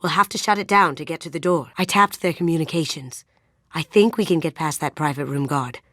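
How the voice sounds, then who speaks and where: Sly young lady thief from a game, lowish voice, cool n playful, super dry close sound. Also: fairly quick, fairly bright tone, no echo. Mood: cool, focused. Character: sly, confident young female master thief. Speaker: video game voice actress. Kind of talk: game character voice lines.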